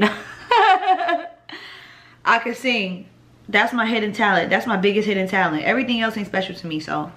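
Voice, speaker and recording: A young woman in her twenties with a deep voice, chatting bluntly and profanely through a Q&A vlog at home. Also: a bit bright. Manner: blunt, profane, laid-back with laughs. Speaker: vlogger answering viewer questions. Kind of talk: casual Q&A vlog. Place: living room at home, indoors.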